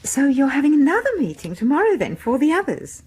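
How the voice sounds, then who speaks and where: A woman's voice: sits high, very animated, a little fast, a little mellow. An actress playing a shy, proper, questioning woman in a radio horror drama, speaking politely and tentatively with a British accent. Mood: questioning, reproachful.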